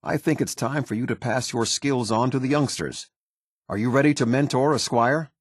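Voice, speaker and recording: An American man playing a stern, formal military officer in a video game, speaking with husky, clipped, measured authority. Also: mid-range voice, no room sound, clean studio sound, lightly acted. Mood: instructive, steady. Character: stern, formal male military officer of a post-apocalyptic order. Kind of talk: video game character dialogue.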